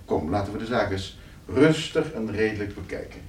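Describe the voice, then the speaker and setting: A man's voice: fairly low pitch, animated, moderate pace, a little hiss or hum, close to an everyday voice. Man acting a smug, scheming lawyer, swooping intonation. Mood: needling, smooth. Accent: Netherlands Dutch. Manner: suave, hesitant repetitions, condescending. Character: smug, scheming lawyer, falsely hospitable and needling. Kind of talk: radio play acting.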